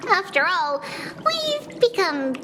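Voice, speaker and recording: A woman's voice: extremely high pitch, very animated, takes it slowly, background noise, exaggerated voice. A woman voicing a playful, childlike girl priestess in a video game, with a theatrical, sing-song delivery. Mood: cheerful. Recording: loud music under the voice.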